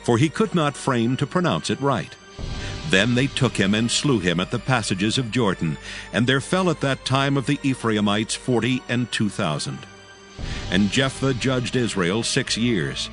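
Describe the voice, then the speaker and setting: A man's voice: medium pitch, expressive, a little slow, music in the background. A man narrating scripture as a formal, solemn dramatic reading.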